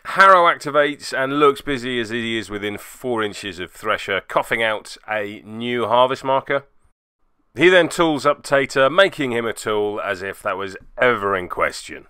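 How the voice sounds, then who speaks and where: A man's voice: medium pitch, lively intonation, moderate pace, sharp tone, smooth, no room sound, clean studio sound. A man giving casual commentary as host of a tabletop game report, with a wry, self-deprecating manner.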